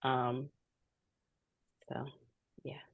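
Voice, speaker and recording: A woman printmaker from Saint Lucia speaks slowly on an artist panel, her voice low. She hesitates often and fills her pauses with ums. The sound is dry and close. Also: flat delivery, creaky, dry recording, phone-quality sound. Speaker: printmaker and teacher.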